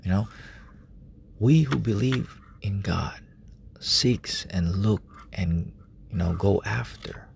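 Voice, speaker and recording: Hushed gravelly low-voiced male preacher. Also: a little hiss or hum. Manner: hushed, halting, many fillers. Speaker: lay preacher. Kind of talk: informal late-night sermon. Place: room in a relative's house at night.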